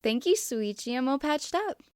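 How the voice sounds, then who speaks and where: A woman's voice: high-pitched, lively intonation, normal speed, a little mellow, dry recording, clean studio sound, voice pushed up. A woman voicing a cheeky teenage boy prankster with a playful, mocking, teasing manner, in an anime fan story.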